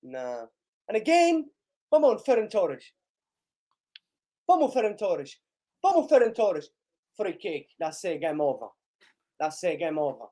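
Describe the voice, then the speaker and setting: A young man hosting a live football reaction stream, excitable and hoarse from losing his voice, urging viewers to like and share. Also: high-pitched, measured pace, long pauses.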